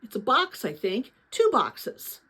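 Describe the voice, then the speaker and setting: Woman voicing a curious, eager young storybook girl, animated. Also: on the high side, takes it slowly, breathy edge, heavily acted. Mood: surprised, eager. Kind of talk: storybook read-aloud character voice.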